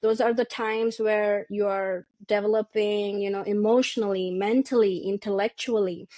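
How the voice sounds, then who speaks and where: A woman's voice: medium pitch, steady tone, moderate pace, darkish tone. A woman from India hosting a casual interview, asking reflective questions in a friendly way and laughing along with her guest. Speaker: podcast host.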